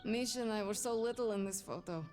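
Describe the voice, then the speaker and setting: A woman's voice: medium pitch, fast talker, darkish tone, lightly acted. A woman playing a defiant young Russian daughter with a Russian accent and a sardonic manner in a video game. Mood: fond. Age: young adult. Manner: dry, sardonic. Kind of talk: dramatic video game acting. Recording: music behind the voice.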